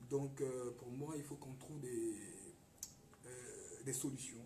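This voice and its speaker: Ivorian guy chatting, stumbles n repeats himself, mellow voice, sounds far off in an echoey room. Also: medium pitch, steady tone, slow pace, slight background noise. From Ivory Coast. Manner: hesitant, repeats words, emphatic at times. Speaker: radio guest. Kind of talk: casual chat.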